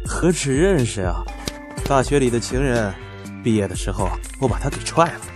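Casting: self-assured young male executive, acted by a man, cool and curt, mid-pitched, audio drama with music. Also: big pitch swings, moderate pace, lightly acted. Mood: smug. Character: cocky, offhand young male planning manager. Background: background music.

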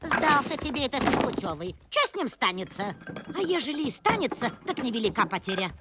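A woman playing a bossy, scornful old village granny in a cartoon, nagging and sharp-tongued. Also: a little high, sing-song, a little fast, over loud music. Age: older. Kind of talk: animated film acting.

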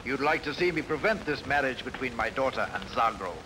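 A man's voice: mid-range voice, lively intonation, fairly quick, close to an everyday voice. A man playing a stern, suspicious royal father in a theatrical film dub, speaking in a declamatory way. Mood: angry, commanding. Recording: noisy old film soundtrack.

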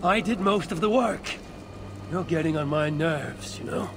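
A woman's voice: low voice, a little slow. An actress playing a blunt, suspicious and proud Viking warrior woman in a video game. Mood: irritated. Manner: blunt, curt, challenging. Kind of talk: video game cutscene acting. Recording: game audio with faint music. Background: game soundtrack music.

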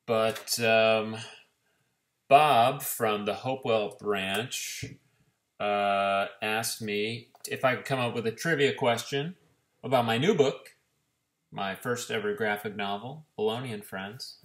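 Guy in his 30s, lowish voice, slow casual webcam chat, echoey room. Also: a bit bright. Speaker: children's author and illustrator. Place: indoors, living room.

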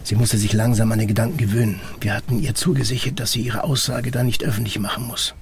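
An actor playing a guarded middle-aged investigator in an audio drama, explaining things in a terse, matter-of-fact way. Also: male voice, medium pitch, flat delivery, quick pace, slight background noise, lightly acted. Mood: measured, guarded. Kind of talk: German audio drama dialogue.

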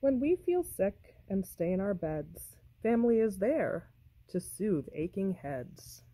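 Woman, picture book read-aloud, slowly paced, expressive, swooping intonation, measured phrases. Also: mid-range voice, dry recording, low-quality recording. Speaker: storybook reader.

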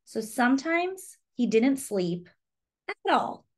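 Slow, very animated woman reading a storybook. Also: on the high side. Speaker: storybook reader and host. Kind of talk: expressive children's read-aloud with asides to young listeners.

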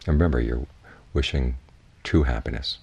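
A man teaching in a guided meditation, giving measured, unhurried instructions with long pauses. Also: rumbling low, gravelly, darkish tone, husky, highs cut off. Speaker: Buddhist monk, meditation teacher.

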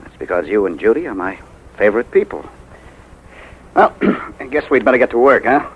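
A man's voice: on the low side, animated, brisk, slightly rough, poor audio. A man playing a kindly, reassuring family friend in a 1950s radio drama, easygoing in manner. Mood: easygoing, affectionate. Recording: vintage 1950s radio recording.

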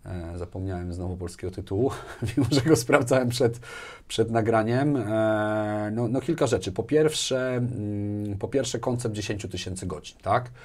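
A man's voice: lowish voice, normal speed, a little mellow. Thoughtful man in his forties, hesitant talker. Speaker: interview guest, business manager. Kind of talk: conversational interview. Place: small furnished indoor studio. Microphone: lapel mic.

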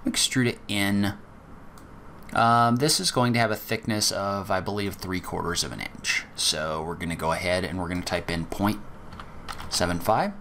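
A man teaching a software tutorial, explaining each step methodically in a casual way with occasional fillers. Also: low voice, a little hiss or hum. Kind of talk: screen-recorded software walkthrough.

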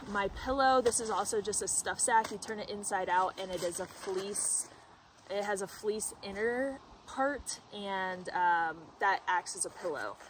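A woman in her twenties showing her hiking gear in a casual, chatty way, full of "like" and "um", sitting outdoors in a sunny forest clearing. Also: medium pitch. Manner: chatty, with frequent "like" and "um" fillers. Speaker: hiker and vlogger. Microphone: camera at a distance. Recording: distant outdoor mic with faint background noise.

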